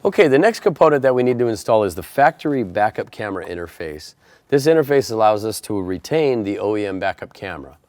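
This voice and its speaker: A man's voice: lowish voice, very animated, fast, a little mellow, no echo, studio quality. A man presenting a how-to installation tutorial, explaining each step in a plain, methodical way. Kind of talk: step-by-step instructional narration.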